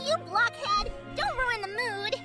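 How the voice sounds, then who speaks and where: A woman's voice: very high-pitched, a little slow. A woman voicing a small, sweet, earnest female fantasy creature with a childlike manner in a video game. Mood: cheerful. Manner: earnest, pleading. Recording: loud music over the voice.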